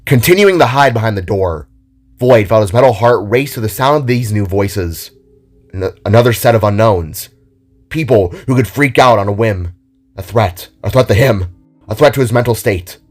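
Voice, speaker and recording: Guy narrating a scripted story, dramatic, pitch moves a lot, faint music under it. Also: lively intonation, normal speed. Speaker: narrator and writer of audio drama.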